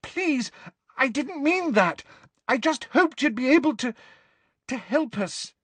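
A scared teenage girl from a storybook, played by a man. She pleads earnestly and breathlessly in a very high register. The sound is dry. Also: lively intonation, slow pace, fairly bright tone, breathy edge, dry recording, heavily acted. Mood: horrified. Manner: breathless, imploring.

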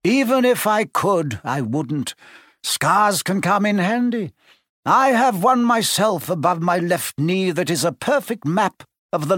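A man reading aloud and voicing a calm, wise and whimsical old wizard who speaks gently and without hurry. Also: sits high, no room sound, studio quality, pitched above the performer's own voice, exaggerated voice. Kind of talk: audiobook character reading.

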